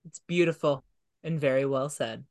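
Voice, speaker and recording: A man's voice: medium pitch, moderate pace. A man hosting a podcast interview in a casual, conversational style.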